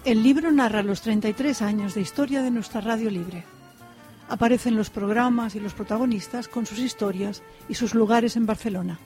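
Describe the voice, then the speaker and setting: A woman announcing a book in a scripted promotional spot, reading slowly and deliberately. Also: fairly high pitch, over music.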